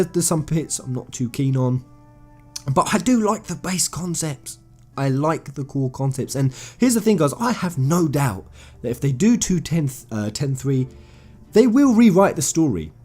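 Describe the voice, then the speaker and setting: A man's voice: a little high, a little mellow. A British man hosting casual fan commentary, talking to viewers in an emphatic, chatty, enthusiastic way. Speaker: video host.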